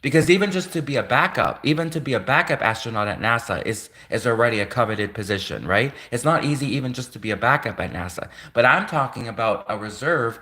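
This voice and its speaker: A man's voice: mid-range voice, pushed and bright. A man who is a native Russian speaker, talking casually on a livestream in an earnest, rambling way and repeating phrases for emphasis. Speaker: livestream host.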